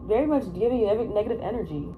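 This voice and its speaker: A woman's voice: medium pitch, animated, very fast, phone-quality sound. Young American woman vlogging over room noise. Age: young adult. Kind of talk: casual get-ready-with-me vlog chat. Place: hotel room, indoors. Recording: noisy room ambience.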